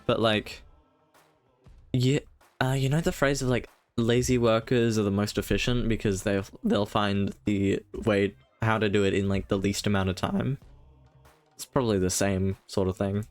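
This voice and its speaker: A man giving casual live commentary as a game streamer, musing aloud in a halting way. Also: lowish voice, fairly even intonation, faint music behind.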